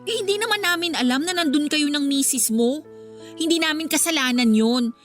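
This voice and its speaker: A woman's voice: high-pitched, brisk, fairly bright tone, soft background music. A woman with a Filipino accent playing a defiant, argumentative mistress who talks back in a radio drama. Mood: defensive, sassy. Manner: sassy, argumentative.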